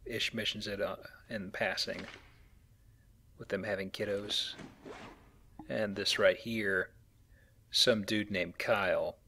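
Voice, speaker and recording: A man with a fairly low voice commentates casually on a video game. He explains in a conversational way, over faint game ambience and noise. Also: vocal fry, pushed and bright. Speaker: Let's Play host.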